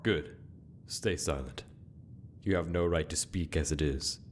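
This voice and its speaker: A man's voice: extremely low pitch, measured pace, a little hiss or hum. A man playing a stern, contemptuous inquisitor in a fantasy video game, delivering solemn monologue full of accusing rhetorical questions. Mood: contemptuous, threatening. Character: stern, menacing male inquisitor, contemptuous and commanding.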